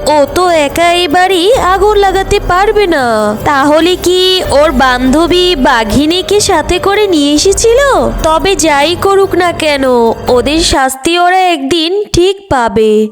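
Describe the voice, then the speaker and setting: A woman's voice: extremely high pitch, takes it slowly, soft background music. A woman voicing a kind, sorrowful mother fox in a cartoon, with a plaintive, motherly manner. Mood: troubled, resigned.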